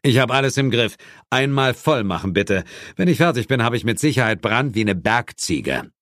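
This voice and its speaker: A gruff police inspector played by a male narrator from a horror audiobook, brash and impatient. He barks curt orders with sarcastic bite, in a very dry, close recording. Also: mid-range voice, expressive, moderate pace, a bit bright, no echo, pitched above the performer's own voice, lightly acted. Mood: cocky. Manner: barking, curt commands.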